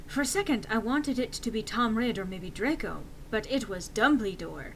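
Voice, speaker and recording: A woman delivers a melodramatic fan-fiction reading in a fairly high voice. Her pitch moves around a great deal as she plays up the drama, and there is some noise in the recording. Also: lively intonation, normal speed. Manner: theatrical, swinging into shouts for quotes.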